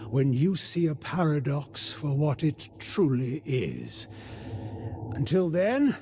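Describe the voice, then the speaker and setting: An actor playing a haughty, scheming ancient vampire in a gothic video game, speaking in a grandiloquent, disdainful and deliberate way. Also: male voice, on the high side, sing-song, dark tone, a little hiss or hum, full character voice. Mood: calm, foreboding.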